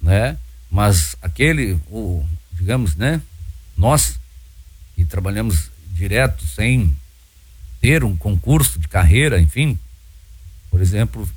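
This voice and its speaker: A man's voice: lowish voice, animated, slow pace, long pauses, darkish tone, slightly rough, no echo. A man with a Brazilian accent hosting a radio talk show, chatty and full of filler words.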